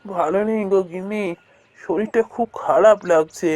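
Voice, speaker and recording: A woman's voice: mid-range voice, normal speed, a little mellow, no echo, natural voice. A woman voicing an ailing, anxious village husband in a cartoon, speaking in a weary, pleading way. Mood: weary, unwell. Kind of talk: cartoon voice acting.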